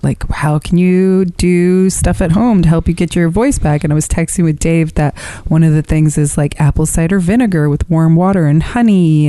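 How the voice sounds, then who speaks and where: A woman co-hosting a podcast in casual chat, husky and hoarse from laryngitis, telling stories animatedly. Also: sits low, no echo. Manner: animated storytelling. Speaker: podcast co-host.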